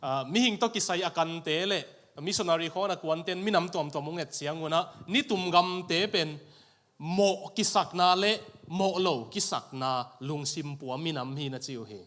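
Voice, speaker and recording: A man's voice: a little high, normal speed, a bit bright. A man interpreting a sermon phrase by phrase in a church, repeating each line right after the preacher. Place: church sanctuary.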